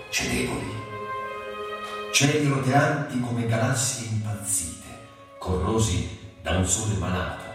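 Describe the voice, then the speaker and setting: An actor playing a solemn literary narrator in a radio drama, brooding and deliberate, lingering on single words. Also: male voice, deep voice. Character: brooding literary narrator of a suffering man's inner world. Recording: cavernous echo, distant, loud violin music. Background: loud violin music.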